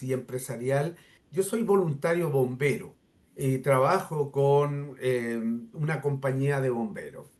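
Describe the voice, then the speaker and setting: A middle-aged man with a Chilean accent speaks in a formal, deliberate register, pausing on fillers before pressing his points with emphasis. His voice sits a little higher than most men's. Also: a little mellow. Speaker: interviewer. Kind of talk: formal discussion, addressing a researcher.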